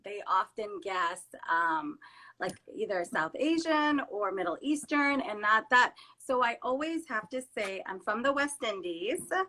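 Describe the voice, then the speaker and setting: A woman's voice: medium pitch, expressive, measured pace, sharp tone, slight background noise. A woman from Trinidad, a guest therapist, talking earnestly and emphatically in a casual conversation on a video call. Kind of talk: casual conversation on a live stream.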